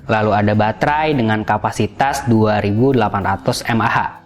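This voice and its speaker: A man's voice: fairly low pitch, moderate pace. A man hosting a gadget review, narrating in a casual, explanatory style. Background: background music.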